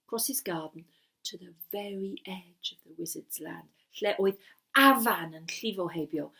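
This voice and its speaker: A woman's voice: mid-range voice, a bit bright. A woman telling a story bilingually in Welsh and English, dramatic, repeating phrases in both languages.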